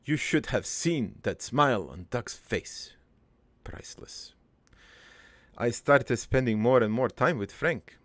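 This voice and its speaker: A man acts out a gravelly old cartoon father telling his life story from beyond the grave. He rambles haltingly, with crude deadpan humour and a wistful, doting streak. The voice creaks heavily, moves at an unhurried pace and sits close and dry. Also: medium pitch, no echo. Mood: gleeful boasting. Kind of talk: improvised first-person cartoon monologue.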